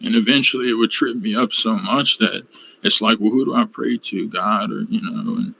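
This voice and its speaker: A man reflectively recounting his personal faith journey in a study group, halting and searching for words over a video call. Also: on the low side, expressive, vocal fry, bright, forceful tone, slight background noise, low-quality recording.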